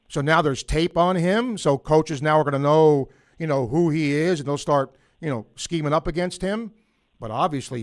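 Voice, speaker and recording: A man hosting a late-night call-in sports radio show, talking loosely with fillers and restarts. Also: fairly high pitch. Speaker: radio sports talk host.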